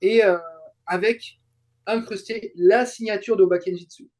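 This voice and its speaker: A man chatting casually as an interview guest, explaining in halting, repetitive phrases. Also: sits high, fairly even intonation, a little mellow. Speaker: guest, translator and YouTuber. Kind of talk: casual interview chat about a fan event.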